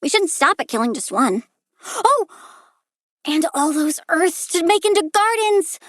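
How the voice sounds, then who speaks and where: Video game villain, a little plant-controlling girl: very high, bright, dry-recorded, perky, bratty, gleefully menacing. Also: very animated, a little slow, dry recording, heavily acted. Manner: perky, precocious, sassy. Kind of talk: video game character voice lines.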